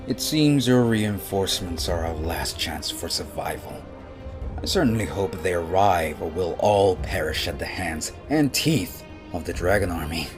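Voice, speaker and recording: Man playing a deep, grave ninja master. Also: lively intonation, unhurried, music in the background. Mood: stern, formal. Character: grave, skeptical senior male ninja master.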